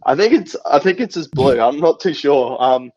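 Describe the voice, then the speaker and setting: A man's voice: fairly high pitch, animated, moderate pace, a little mellow, dry recording, lo-fi sound. An Australian man bantering casually as a podcast guest, with slangy, sweary talk. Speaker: band member and podcast guest.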